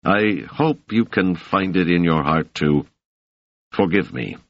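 A man reading an audiobook voices an awkward male academic making a halting, formal apology. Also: low-pitched, expressive, creaky, no echo, heavily acted. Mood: apologetic. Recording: dull, dry, lo-fi sound.

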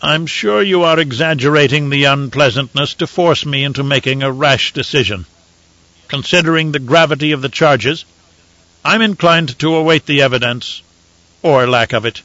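A man's voice: fairly high pitch, pushed and bright, dry recording, dull audio. A man doing a haughty, commanding emperor. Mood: imperious. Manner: stern, haughty, measured. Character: imperious male emperor, cold and commanding.

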